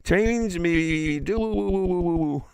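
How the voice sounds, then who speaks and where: A man's voice: sits high, extremely slow, gravelly, clean tone, no echo, studio quality, voice pushed up, full character voice. A man voicing a vain, restless trickster bird from a folk tale, pleading and exclaiming impatiently.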